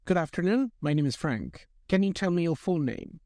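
Formal male examiner, slightly high voice. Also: lively intonation, normal speed, a little mellow, no room sound, clean studio sound. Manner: formal, clearly structured instructions. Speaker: oral English exam examiner. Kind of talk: formal scripted exam questioning.

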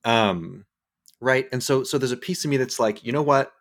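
A man's voice: mid-range voice, normal speed. A man in his forties hosting a casual talk, thinking aloud and often restarting his words. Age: 40s. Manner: hesitant, repeats words when searching for thoughts. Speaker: podcast host. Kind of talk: reflective casual conversation.

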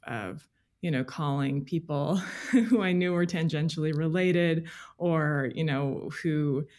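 A woman journalist speaking as an interview guest, explaining things in a measured, detailed, conversational way. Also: deep voice, darkish tone. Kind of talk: conversational interview, recounting reporting.

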